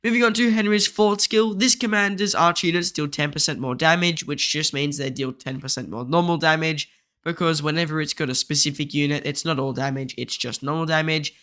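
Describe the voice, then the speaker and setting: A man talks through a game guide in a voice-over, his nose blocked so his voice comes out nasal and congested. His tone is bright and a touch high, and he explains things steadily and methodically, point by point. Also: fairly quick, clean tone.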